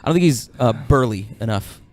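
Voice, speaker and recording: A man's voice: mid-range voice, lively intonation, a little fast, no room sound, studio quality. A man hosting a podcast in casual group chat, joking around and reading out listener comments. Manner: jokey, reads out listener picks. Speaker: podcast host.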